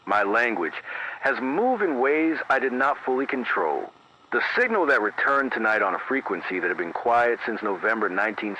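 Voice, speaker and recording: Guy doing a grave formal emergency broadcast announcer, radio horror, measured but really swoopy pitch, faint hiss on old am radio. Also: sharp tone, poor audio. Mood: weary, solemn. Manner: measured, formal, deliberate phrasing. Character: careful, weary male emergency radio announcer on a night shift. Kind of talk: scripted emergency bulletin, analog horror radio drama.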